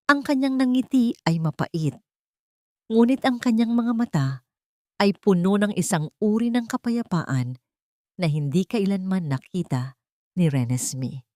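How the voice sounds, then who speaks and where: A woman's voice: medium pitch, animated, a little slow, long pauses, a little mellow, no echo, clean studio sound. A woman narrating a scripted drama in a solemn, emotive storytelling manner.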